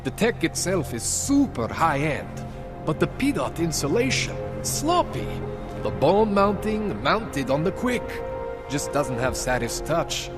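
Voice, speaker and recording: Game role: slick, jittery male underground tech. Swooping, animated pitch, wheedling, music behind. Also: a little slow. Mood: appraising. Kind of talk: video game cutscene acting.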